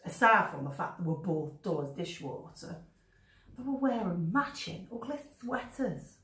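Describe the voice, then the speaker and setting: Woman acting a lively, snobbish wife, distant mic. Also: on the low side, measured pace. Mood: scornful, exasperated. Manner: haughty, sardonic, wordy. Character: snobbish, scornful wife of seventeen years. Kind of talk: theatrical monologue. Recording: echoey room, distant mic.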